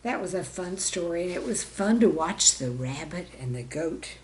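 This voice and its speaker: A woman's voice: on the low side, lively intonation, normal speed, a little mellow, a little hiss or hum. A woman reading a children's story in a simple, friendly way, as if speaking directly to young listeners. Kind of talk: gentle scripted storytelling for children.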